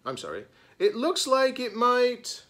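A man's voice: extremely high pitch, wildly swooping pitch, unhurried, pushed and bright, voice pushed up, full character voice. A man voicing a polite, hesitant storybook teacher with an exclaiming manner.

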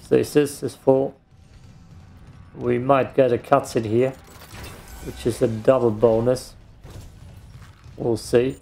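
A man's voice: mid-range voice, flat delivery, takes it slowly, creaky, long pauses, dark tone, a little hiss or hum. A man, a German speaker, joking and self-deprecating as he comments on his gameplay. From Austria. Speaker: let's play host. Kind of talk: casual gaming commentary.

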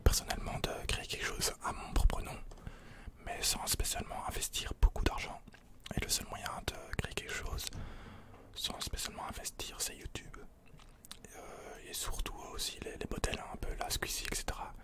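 A man, answering questions in an unhurried, breathy ASMR whisper close to the microphone. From Belgium. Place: small attic room indoors. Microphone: desk condenser microphone, close.

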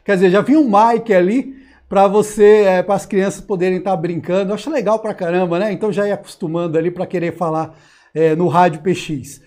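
Male Brazilian host, forties, high-pitched, casual and emphatic, slangy delivery to camera. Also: steady tone, fairly quick, a little mellow. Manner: slangy, emphatic, repeats words and seeks agreement. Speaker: host of a CB radio channel. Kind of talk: casual, chatty commentary to viewers. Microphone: lapel mic.

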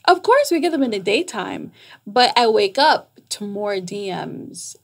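Female podcast guest, middle-aged, gravelly fry and husky edge, explanatory and animated, close studio mic. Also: medium pitch, unhurried. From Jamaica, raised in New York. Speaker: guest, psychotherapist. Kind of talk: conversational podcast interview. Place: small foam-treated podcast studio. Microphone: close boom-arm studio microphone.

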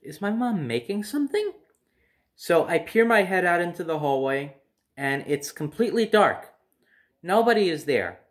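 A young man telling a personal story casually to the camera. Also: on the high side. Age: young adult. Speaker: storyteller, vlogger. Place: living room at home, by a window.

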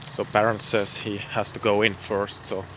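A man's voice: fairly low pitch, little pitch movement, moderate pace, background noise. A young man from Estonia vlogging casually outdoors at night and from his car, rambling and self-deprecating, swearing now and then. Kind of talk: casual vlog chat.

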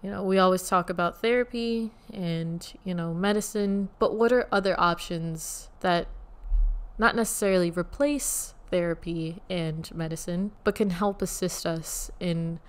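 A woman hosting her own podcast in casual solo talk, with a soothing voice, gently guiding listeners through a short meditation. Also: lowish voice, measured pace, clear, resonant tone. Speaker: podcast host. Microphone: USB studio microphone.

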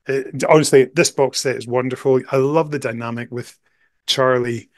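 A man's voice: mid-range voice, fairly even intonation, normal speed, no echo, clean studio sound. A middle-aged man with a Scottish accent giving a casual review as a guest host on a video call. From Scotland. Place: small room indoors. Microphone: headset on a video call.

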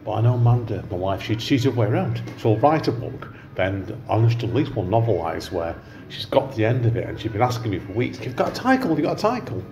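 A man's voice: fairly low pitch, sing-song, fast. A man hosting a casual live interview, chatting in a rambling way. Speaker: podcast host interviewing guests. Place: live in a taproom bar. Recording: echoey, noisy live venue recording.

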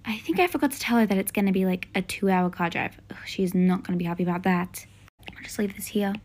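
A woman's voice: mid-range voice, fast, darkish tone, close to an everyday voice. A woman with an Australian accent, playing an exasperated mother of a teenage daughter in a homemade family skit, scolding and pleading by turns. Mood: brisk.

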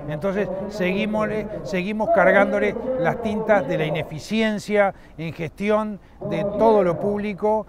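A middle-aged man with a Uruguayan accent, the president of a rural association, giving an outdoor interview with frequent fillers and restarts. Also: high-pitched. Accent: Uruguayan Spanish. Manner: halting, many fillers and restarts. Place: outdoors at a livestock fairground.

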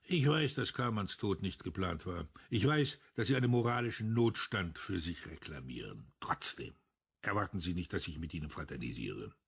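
Radio crime drama: a bitter, unyielding cop, low and very husky, curt delivery. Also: male voice, expressive, moderate pace, a bit bright, heavily acted. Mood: cold, resolute. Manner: curt, stern, clipped declarations. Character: embittered, unyielding male police officer.

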